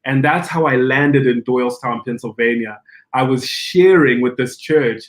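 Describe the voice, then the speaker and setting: Animated pastor telling his story. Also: medium pitch, moderate pace. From Zimbabwe. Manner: animated, acts out quoted dialogue, frequent restarts. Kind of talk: conversational podcast storytelling.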